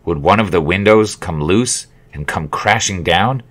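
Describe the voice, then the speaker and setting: Male author-narrator, low-pitched, for a memoir audiobook. Measured delivery with dry, wry humor. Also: fairly bright tone. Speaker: author reading his own memoir. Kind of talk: audiobook narration, scripted storytelling.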